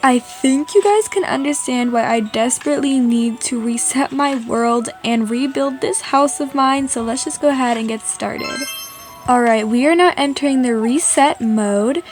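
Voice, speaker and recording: A woman's voice: sits high, moderate pace, soft background music. A woman from Canada doing a casual, chatty voice-over as a video host, in informal speech peppered with "like". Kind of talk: casual gaming voice-over narration.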